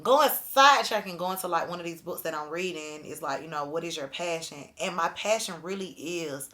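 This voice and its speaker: A woman's voice: fairly low pitch, fairly quick, sharp tone. A woman in her thirties co-hosting a podcast, talking casually and reflectively in loose asides. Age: 30s. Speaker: podcast co-host. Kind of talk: casual chat.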